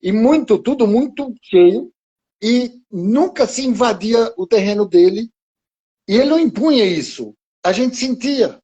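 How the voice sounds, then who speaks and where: A man in his seventies reminiscing slowly in conversation, halting and restarting his phrases. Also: extremely high pitch, lively intonation, dark tone, slightly rough, lo-fi sound. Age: 70s. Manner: slow, halting, with many restarts. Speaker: guest martial arts teacher.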